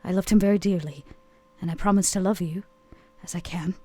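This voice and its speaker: A woman playing a commanding, ageless vampire woman in a tabletop game, tender yet businesslike, with measured, formal phrasing. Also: on the low side, steady tone, warm tone, lightly acted. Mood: solemn, devoted. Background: music.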